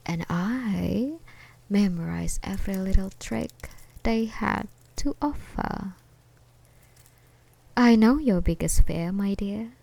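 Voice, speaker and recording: Girl doing a creepy obsessed ex for asmr roleplay, sweet but menacing, draws words out, crackly fry in the voice, unhurried. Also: medium pitch, darkish tone. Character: obsessed, unhinged ex-girlfriend stalker, taunting and possessive. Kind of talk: ASMR roleplay acting, one side of a conversation.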